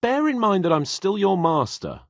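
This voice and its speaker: A man's voice: high-pitched, very animated, moderate pace, no echo, studio quality. A man playing a cocky, haughty young medieval nobleman in a video game, sardonic, emphatic and brash. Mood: indignant, haughty. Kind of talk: video game character dialogue.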